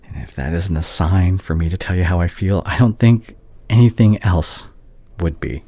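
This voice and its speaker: A man playing a heartbroken, devoted young doctor ex-boyfriend in an intimate audio roleplay, hushed and halting, often slipping toward a whisper. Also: low-pitched, no room sound. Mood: aching, hopeful. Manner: halting, emotional, confessional.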